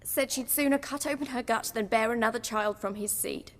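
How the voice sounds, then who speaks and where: Actress voicing an estranged noble daughter, cutting and cold, fairly high voice, heard in a reverberant space. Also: female voice, brisk, natural voice. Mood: shaken. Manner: cold, sharp, sarcastic. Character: bitter young noblewoman, estranged daughter, zealous. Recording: long hall reverb.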